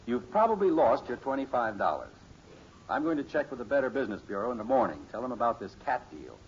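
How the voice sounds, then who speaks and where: Smug, lecturing sitcom dad, animated. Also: male voice, mid-range voice, fast. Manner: patient, pompous, sermonizing. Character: sensible, lecturing middle-aged father, smugly sure of himself. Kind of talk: 1950s radio sitcom acting. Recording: muffled, hissy old radio recording.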